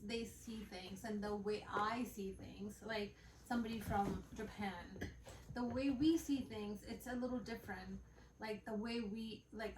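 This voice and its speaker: A woman's voice: a little high, far from the mic. A woman who grew up between Bangladesh and the United States, co-hosting a casual podcast chat and rambling with many fillers and restarts. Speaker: podcast co-host. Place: home room. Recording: roomy, off-mic with faint background noise.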